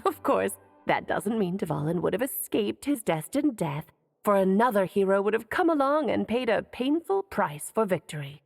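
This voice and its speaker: A woman's voice: medium pitch, very animated, moderate pace, vocal fry, darkish tone, dry recording, faint music behind. An actress playing a worldly, playful sorceress mother in a video game, teasing and eloquent in an airy, theatrical way. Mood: teasing, musing. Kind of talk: video game character acting.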